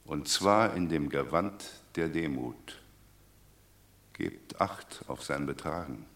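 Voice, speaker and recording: An actor playing a shrewd Roman commoner, reasoning wryly in a classic radio drama. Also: male voice, very deep voice, animated, takes it slowly, a bit bright, full character voice.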